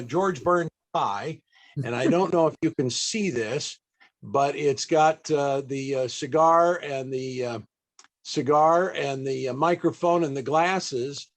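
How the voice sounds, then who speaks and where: A man hosting an interview over a video call, speaking off the cuff in a halting, courteous and formally appreciative way. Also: on the high side, rough recording. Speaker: panel host and interviewer. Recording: video call with occasional breakup.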